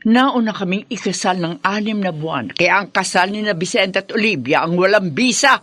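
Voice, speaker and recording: A woman's voice: medium pitch, expressive, normal speed, a bit bright, dry recording. A woman acting a vengeful, taunting mistress who claims to be the lawful wife, in a Filipino radio drama. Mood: gloating, vindictive. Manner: taunting, menacing, theatrical.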